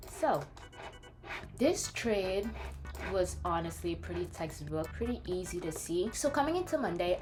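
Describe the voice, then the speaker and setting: A young woman from France, French her first language with a slight French accent, chatting in a scattered, bubbly way and breaking down a trade. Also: fairly low pitch. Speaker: day trader. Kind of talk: casual vlog, screen walkthrough. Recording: background music.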